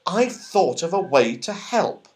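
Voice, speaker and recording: A man voicing a bright, eager little girl in a children's storybook. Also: a little high, big pitch swings, slow, pitched above the performer's own voice. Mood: excited.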